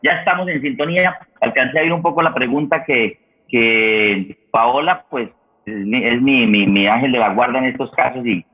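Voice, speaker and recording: A male host with a Colombian accent speaks in a mid-pitched voice. He is halting, doubling words and restarting phrases as he goes. The audio is thin and narrow, like a telephone line. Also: breathy edge. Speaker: host of an online radio programme. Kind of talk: unscripted hosting talk. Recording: tinny, phone-like internet connection.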